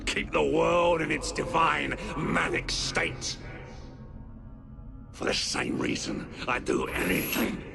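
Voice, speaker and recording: Male game villain: manic theatrical showman, wildly swooping pitch, gravelly creak. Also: animated, music in the background, full character voice. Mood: mocking menace. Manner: grandiose, mocking, drawn-out flourishes. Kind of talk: video game cutscene acting.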